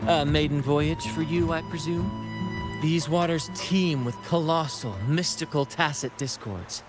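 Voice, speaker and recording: An actor playing a flamboyant, courtly captain of a seafaring troupe in a video game, speaking in grand theatrical flourishes. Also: male voice, a little high, measured pace, natural voice. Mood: courteous, musing. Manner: grandiloquent, courtly, rhyming showman. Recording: music behind.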